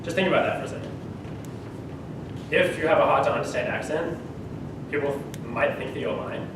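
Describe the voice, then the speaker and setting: A teenage boy with an Australian accent gives a joking, chatty speech to his class, now and then laughing through his words. He sounds far from the mic in a very echoey room, with steady background noise and a low rumble. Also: brisk. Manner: humorous, conversational, laughs mid-sentence. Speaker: student speaker. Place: school classroom.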